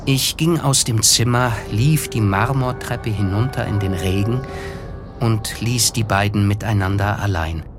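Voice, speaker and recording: An actor playing an observant, reflective writer who narrates a German literary radio drama. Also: male voice, on the low side, a little slow, music in the background, lightly acted. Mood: melancholy, lyrical. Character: reflective, observant male writer recalling his glamorous neighbour.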